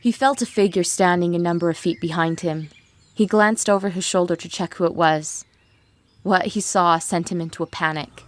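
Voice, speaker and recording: A woman's voice: lowish voice, normal speed. A woman reading scripted, dramatic storytelling narration.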